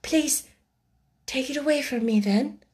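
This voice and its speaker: A woman's voice: mid-range voice, big pitch swings, takes it slowly. A woman voicing a proud, steadfast little orphaned girl in an audiobook, restrained, polite and a little mournful. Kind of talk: audiobook character acting.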